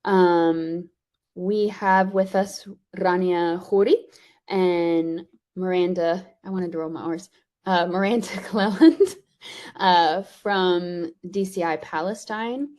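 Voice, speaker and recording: A woman hosting a webinar, introducing guests in a relaxed way with frequent fillers. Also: on the low side, slow pace. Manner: relaxed, filler-heavy, friendly. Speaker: webinar host.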